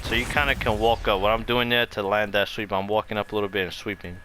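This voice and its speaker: A man's voice: on the low side, level tone, fast talker, noisy background. A man giving a casual, off-the-cuff gaming tutorial, explaining loosely and circling back over his points. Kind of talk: casual off-the-cuff fighting game tutorial commentary. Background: music behind the voice.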